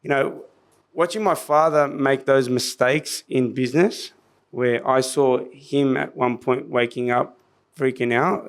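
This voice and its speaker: An Australian man with a somewhat squeaky voice, a podcast guest telling stories in a casual interview. He acts out what other people said and often restarts his sentences. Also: clean tone. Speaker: podcast guest, business founder.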